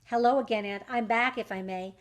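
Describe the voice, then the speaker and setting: A woman's voice: on the high side, fairly even intonation, fairly quick, pitched below the performer's own voice, natural voice. A woman voicing an eager, scatterbrained male cricket in a rhyming storybook, exclaiming politely and getting flustered. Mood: polite pleading.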